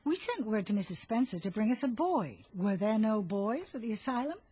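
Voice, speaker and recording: An actress voicing a stern, practical older farm woman who talks in brisk, clipped, scolding lines in an audio drama. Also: on the high side, big pitch swings, warm tone, husky, no room sound. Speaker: actor playing Marilla.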